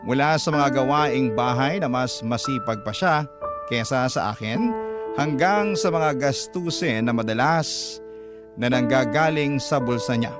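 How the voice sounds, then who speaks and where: A man narrating a love-letter confession for a radio drama, measured and earnest. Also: medium pitch, natural voice. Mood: reflective. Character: letter writer recounting a troubled live-in romance. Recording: loud music bed behind.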